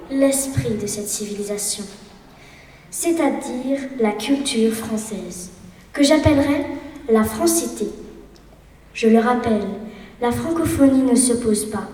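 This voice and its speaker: Girl, eleven, high mellow voice, earnest measured speech, distant in a roomy school hall. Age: child of 11. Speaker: student speaker in a speech contest. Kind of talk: recited contest speech. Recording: echoey hall, voice from far off.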